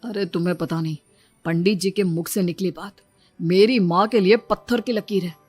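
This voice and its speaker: A woman's voice: fairly low pitch, steady tone, moderate pace, darkish tone, soft background music, close to an everyday voice. A woman voicing an earnest, persuasive young man, a son and husband. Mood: insistent.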